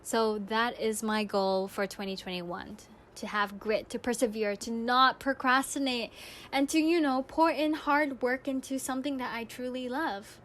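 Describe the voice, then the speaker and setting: A woman's voice: a little high, moderate pace, a bit bright. A young woman hosting a radio-style podcast, telling personal stories to listeners in a reflective, earnest way. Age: young adult. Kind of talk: personal anecdote, monologue to listeners.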